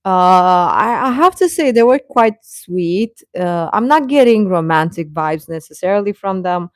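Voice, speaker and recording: Low-voiced woman chatting on a livestream. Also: animated, no room sound, studio quality. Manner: rambling, halting, sarcastic. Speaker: live streamer and reaction channel host. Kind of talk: casual live-stream chat about pop fandom.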